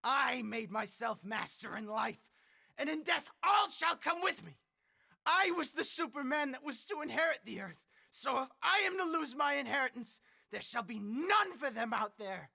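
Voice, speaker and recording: A man's voice: extremely high pitch, animated, normal speed, gravelly, sharp tone, exaggerated voice. A man playing a dying old tyrant leader in a radio drama, ranting, imperious and threatening. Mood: megalomaniac rage. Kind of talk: 1930s-style radio drama acting.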